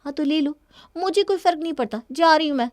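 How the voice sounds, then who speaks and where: A woman's voice: sits high, quick pace, darkish tone, voice pushed up, lightly acted. A woman voicing a scornful, defiant young wife in a cartoon story, haughty and dismissive.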